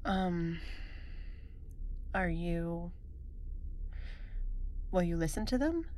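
A woman playing a lonely, anxious space traveller in an audio drama, speaking in a halting, uncertain, subdued way. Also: lowish voice, expressive, a little mellow, a little hiss or hum. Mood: subdued, hesitant. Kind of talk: naturalistic audio drama acting.

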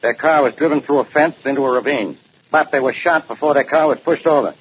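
An actor playing a gruff, stubborn police inspector in an old-time radio detective drama. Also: male voice, mid-range voice, fast talker, natural voice. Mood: gruff, stern. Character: gruff, stubborn male police inspector eager to make arrests. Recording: dull, noisy old radio recording.

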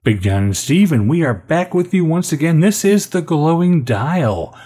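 A man's voice: fairly high pitch, big pitch swings, normal speed, warm tone, breathy edge. A man hosting a radio show in a casual, conversational sign-off style with a folksy, hesitant manner.

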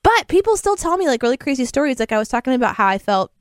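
A young woman co-hosting a podcast, slightly hoarse from a cold, chatting in a silly, self-mocking way and giggling often. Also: sits high, big pitch swings, very fast, no echo, clean studio sound. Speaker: podcast co-host. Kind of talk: casual chat.

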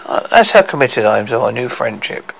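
Guy doing a slick pompous king in a fairy tale, smug, swoopy pitch, bit gravelly. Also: very animated, fairly quick, fairly bright tone, exaggerated voice, rough recording. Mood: falsely earnest. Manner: pompous, dismissive. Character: smug, conniving male usurper king, falsely friendly.

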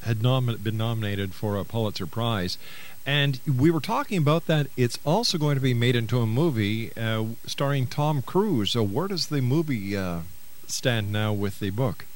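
A man's voice: mid-range voice, animated, normal speed. A man hosting a radio show, interviewing a guest and reading out announcements. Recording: hissy radio broadcast.

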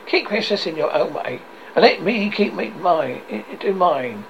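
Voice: medium pitch, animated, unhurried, vocal fry, voice pushed up. A reader voicing a grumpy, miserly old man who is stern and indignant, as in a storybook. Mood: stern, dismissive. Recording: dull audio with faint noise.

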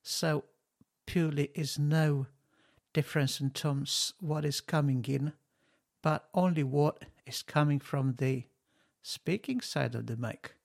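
Slow, gravelly-voiced man on a podcast mic. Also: on the high side. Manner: slow, halting, many fillers, non-native phrasing. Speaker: podcast host testing microphones. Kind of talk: casual spoken talk. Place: home studio desk. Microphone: dynamic studio microphone, close.

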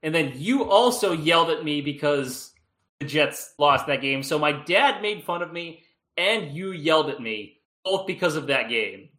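A man's voice: on the high side, lively intonation, a little slow, a bit bright, echoey room. A man in a casual podcast chat who is wry and self-deprecating. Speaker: podcast co-host.

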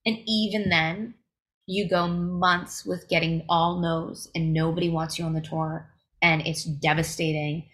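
Forceful, lower-voiced woman singer talking shop. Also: flat delivery, measured pace. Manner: emphatic, talks in bursts with many "like" fillers and restarts. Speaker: touring singer, podcast guest. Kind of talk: casual interview chat.

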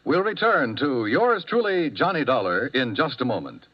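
A man's voice: medium pitch, sing-song, moderate pace. An American man reading scripted announcements as a 1950s radio announcer, emphatic and formal. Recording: muffled vintage radio broadcast, music behind.

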